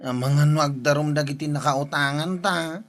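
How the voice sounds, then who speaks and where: A woman's voice: low-pitched, moderate pace, soft background music, natural voice. A woman playing a grumbling, blaming village office colleague in an Ilocano comedy drama, with an Ilocano accent. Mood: annoyed.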